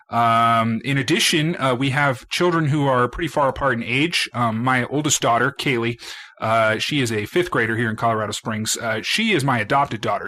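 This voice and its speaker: A man with a bright, forward voice at a medium pitch chats as a guest in an interview. His phrasing is halting and hesitant, in an informal conversational style. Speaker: show guest, estate attorney. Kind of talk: casual interview chat.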